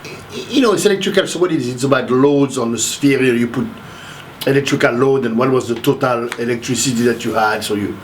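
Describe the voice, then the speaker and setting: A man's voice: medium pitch, fast talker, a little hiss or hum, poor audio. A man in his sixties from Haiti, speaking casually in an interview and rambling through his memories with many restarts. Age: 60s. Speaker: interviewee, math professor.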